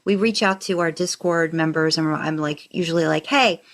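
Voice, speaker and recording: Lower-voiced woman in relaxed podcast conversation. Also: brisk. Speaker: podcast co-host. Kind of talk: casual chat.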